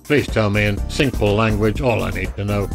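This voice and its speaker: A man's voice: lowish voice, normal speed, creaky, over music. A man acting a proud, impatient, ailing computer expert in a radio drama, speaking in a brusque, formal and indignant way with a cough. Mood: grave, blunt.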